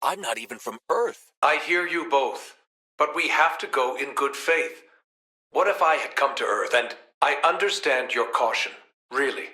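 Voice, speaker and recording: A man voicing a powerful superhero in a video game, speaking in an earnest, deliberate manner. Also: mid-range voice, expressive, sharp tone, breathy edge, no room sound. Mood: earnest, serious. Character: powerful male superhero, once kindly, now menacing. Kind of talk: acted video game voice lines.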